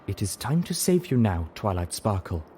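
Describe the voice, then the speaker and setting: A man doing a measured zebra translator. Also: fairly low pitch, very animated, dark tone, a little hiss or hum. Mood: solemn. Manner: measured, translating speech line by line. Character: zebra companion reading lips aloud.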